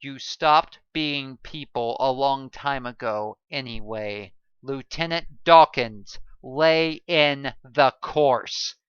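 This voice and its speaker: A man's voice: medium pitch, lively intonation, moderate pace, a bit bright, dry recording, muffled recording. A woman voicing a cold, apathetic male ship captain who gives curt orders. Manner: curt, commanding, unconcerned.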